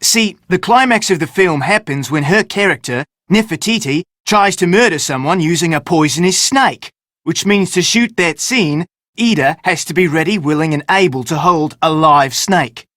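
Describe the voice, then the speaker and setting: An actor playing a self-important, theatrical film director in an adventure game, speaking pompously with dramatic emphasis. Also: male voice, a little high, moderate pace, a bit bright, no room sound, studio quality, close to an everyday voice. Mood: wry, determined. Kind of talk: scripted game character dialogue.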